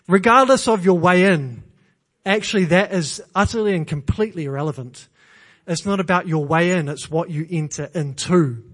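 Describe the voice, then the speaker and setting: A man from New Zealand with a New Zealand accent, preaching a sermon in church with earnest emphasis, repeated phrases and self-deprecating humor. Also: high-pitched.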